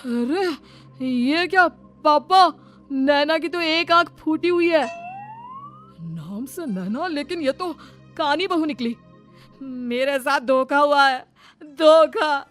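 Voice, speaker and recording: A woman's voice: high voice, lively intonation, slow, long pauses. A woman voicing a greedy young groom in a cartoon, whiny and complaining in manner. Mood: aggrieved. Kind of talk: scripted Hindi cartoon dialogue. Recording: music behind the voice.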